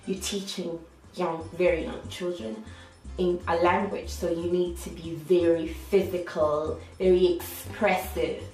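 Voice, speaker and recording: A woman's voice: on the low side, fairly even intonation, a little slow, a little mellow. A woman with a light Irish accent, an online English teacher giving a chatty, upbeat how-to talk that often restarts its sentences. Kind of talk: casual how-to vlog. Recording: echoey room, faint music.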